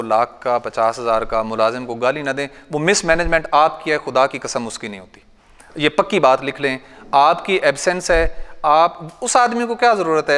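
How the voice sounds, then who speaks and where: Punjabi-accented male farmer-trainer in his thirties, chatty and anecdotal, clear, resonant voice, long hall reverb. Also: mid-range voice, fairly bright tone. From Pakistan. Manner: conversational, anecdotal, recites couplets and quotes elders. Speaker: goat farmer giving a workshop talk. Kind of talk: informal training talk. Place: large bright training hall with a seated audience.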